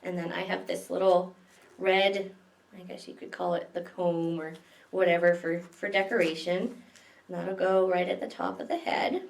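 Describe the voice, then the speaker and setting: A woman's voice: lowish voice, steady tone, moderate pace, vocal fry, recorded from a distance. A woman hosting a story time for small children, reading and explaining things simply, as a children's librarian does. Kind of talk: picture-book reading and simple craft demonstration for small children. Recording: echoey room, away from the mic.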